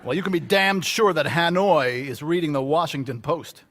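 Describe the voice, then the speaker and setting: A man's voice: on the high side, moderate pace, a bit bright, a little hiss or hum, lightly acted. An actor playing a cautious, persuasive male corporate lawyer in a radio drama. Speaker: company lawyer.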